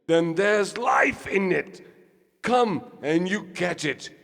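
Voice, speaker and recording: A pompous, high-pitched Shakespearean ham in a hall. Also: male voice, expressive, takes it slowly, creaky, fairly bright tone, full character voice. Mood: grand, mad declaiming. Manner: theatrical, grandiose declaiming. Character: pompous, tipsy, vain old-school Shakespearean stage actor. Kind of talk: radio play acting.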